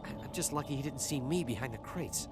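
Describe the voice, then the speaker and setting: A man's voice: medium pitch, lively intonation, quick pace, natural voice. A man playing a cowardly, frightened dockworker who has survived an attack in a video game, stammering, nervous and pleading. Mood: shaken. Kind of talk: cinematic sci-fi game dialogue. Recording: music under the voice.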